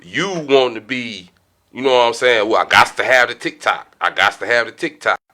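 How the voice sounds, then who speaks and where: A man's voice: medium pitch, moderate pace, pushed and bright. A man on a podcast panel debating casually in slang, emphatic and repeating himself for effect.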